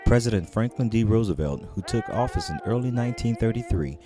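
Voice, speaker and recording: Low voiced american guy narrating a documentary script, pitch moves around a lot, music under him. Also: expressive, fairly quick.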